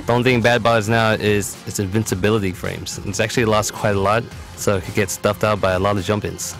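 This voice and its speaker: A man with a fairly low voice walks through a fighting game character in a casual, matter-of-fact way. He explains like a competitive player giving a guide. Game music plays clearly behind his voice-over. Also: steady tone, fast, fairly bright tone. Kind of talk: explanatory video game tutorial commentary.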